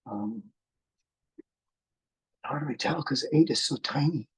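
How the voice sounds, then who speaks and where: An excitable person presenting film finds in a casual unboxing chat, with joking asides and exclamations. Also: mid-range voice, takes it slowly, creaky, husky.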